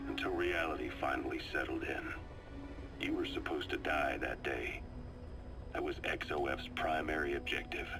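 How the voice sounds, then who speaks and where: A man playing a seasoned, calm and knowing military adviser in a video game, briefing his commander in a measured, confiding storytelling delivery. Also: very deep voice. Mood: grave, ominous. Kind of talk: video game voice acting, dramatic briefing monologue. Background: music.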